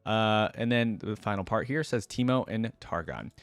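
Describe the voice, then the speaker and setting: A man doing casual reaction commentary as a video host, reading aloud and thinking out loud in a conversational way. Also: fairly low pitch, lively intonation, moderate pace, dry recording.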